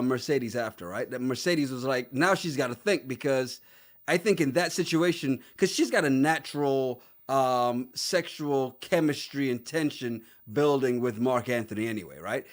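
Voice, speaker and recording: A man's voice: mid-range voice, expressive, normal speed. A man co-hosting a casual podcast, laughing often and acting out quotes in his reality-show recap chat. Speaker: podcast co-host.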